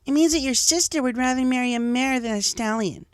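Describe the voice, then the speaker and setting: A man's voice: very high-pitched, a little fast, clean tone, dry recording, voice pushed up. A man voicing a snobby, spiteful young filly who bullies her classmates in a storybook reading. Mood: sneering, spiteful.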